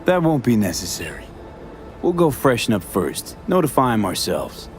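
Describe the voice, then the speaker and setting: An actor voicing a cool, guarded mercenary in a video game, with an American accent and dry, terse delivery. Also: male voice, mid-range voice, huge pitch swings, normal speed, soft background music. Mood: composed.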